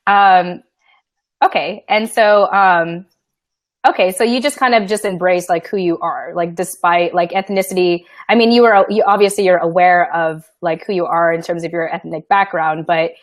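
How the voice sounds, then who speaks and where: A woman's voice: on the low side, fairly even intonation, moderate pace, no room sound, clean studio sound. A woman from the Philippines interviewing a guest in a chatty way, using a lot of fillers. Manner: chatty, heavy filler use. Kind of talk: casual interview.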